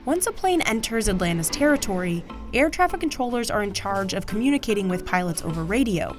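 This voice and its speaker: A woman's voice: mid-range voice, expressive, fairly quick, faint music behind. An American woman reading scripted documentary narration as the narrator of a news feature.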